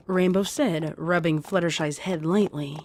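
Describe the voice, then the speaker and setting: A woman's voice: on the low side, fairly even intonation, a little slow, slight background noise. A woman reading fan fiction aloud as scripted storytelling narration. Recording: music under the voice.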